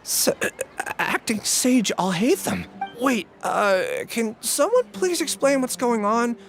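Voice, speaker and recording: An actor playing a guilty, cowardly researcher in a video game, flustered and apologetic, with a nervous manner. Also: male voice, very high-pitched, big pitch swings, takes it slowly, a bit bright, exaggerated voice. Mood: panicked, pleading. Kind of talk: video game character acting. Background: faint game music.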